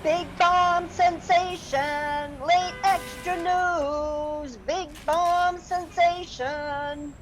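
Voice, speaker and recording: Young news hawker: very high, very slow calling delivery, radio drama. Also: female voice, steady tone, faint music behind, exaggerated voice. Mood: hawking. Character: young street newspaper seller crying headlines. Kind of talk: radio play acting, street hawking.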